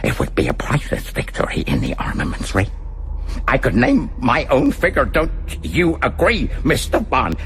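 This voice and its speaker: An actor playing a cold, sadistic megalomaniac mastermind in a radio drama, formal, deliberate, menacing and theatrical. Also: male voice, fairly high pitch, vocal fry, fairly bright tone, a little hiss or hum, exaggerated voice. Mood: gloating.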